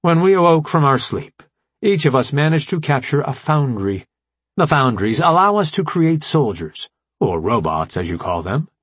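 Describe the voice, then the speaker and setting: A man voicing a formal, logical artificial intelligence robot leader in an audiobook, explaining things in precise, measured language. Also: fairly high pitch, sing-song, slightly rough, no room sound, pitched above the performer's own voice. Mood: solemn, explanatory. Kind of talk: audiobook character dialogue.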